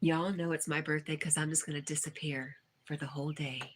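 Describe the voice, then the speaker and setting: Low-voiced woman chatting on a livestream. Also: fairly even intonation, a little fast, a little hiss or hum. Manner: reads viewer comments aloud and replies chattily. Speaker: livestream host. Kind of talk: casual livestream chat.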